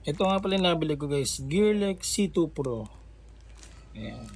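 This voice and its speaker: A man with a Filipino accent casually unboxing a gadget in a vlog, relaxed and chatty with lots of fillers. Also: on the high side, moderate pace. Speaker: vlogger.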